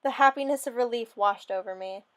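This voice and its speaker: A woman giving a theatrical, expressive dramatic reading of a first-person fairy story, as a storyteller. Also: a little high, brisk.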